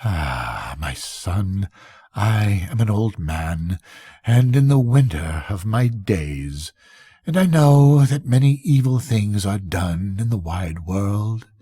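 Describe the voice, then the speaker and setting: A man acts out an old bishop from a storybook, weary of the world and solemn. His speech is slow and archaic, full of grave questions. The voice is low and husky. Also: mellow, no room sound, full character voice. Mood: weary, sorrowful.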